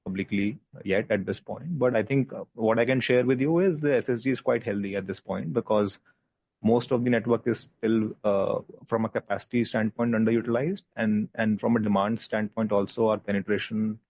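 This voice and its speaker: A man with an Indian accent, a company executive answering analyst questions in a measured, explanatory way on a conference call. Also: on the low side, steady tone, darkish tone, dry recording. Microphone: conference call line.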